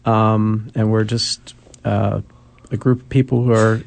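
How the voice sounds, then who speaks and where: Gravelly low-voiced man, hesitant. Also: little pitch movement, unhurried, darkish tone. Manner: hesitant, many ums. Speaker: community center founder, guest. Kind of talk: radio interview answers.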